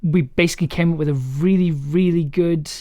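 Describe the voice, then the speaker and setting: A person casually talking through audio editing work on a livestream, halting and trailing off while thinking aloud. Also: mid-range voice, steady tone, a little mellow, dry recording, dull audio. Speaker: audio drama maker streaming the editing process.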